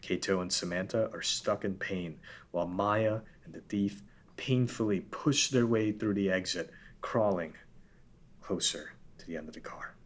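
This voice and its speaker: A man's voice: sits low, unhurried. A man narrating a script, reading out scene headings and stage directions. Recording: background room noise.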